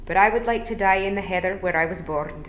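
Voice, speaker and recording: Lady doing an old pixie bard guy for storytime, slow n polite, rolls his r's, wistful, echoey room. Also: mid-range voice, highs cut off, a little hiss or hum, voice pushed up, close to an everyday voice. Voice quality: rolled R's. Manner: clear, slow, polite, formal.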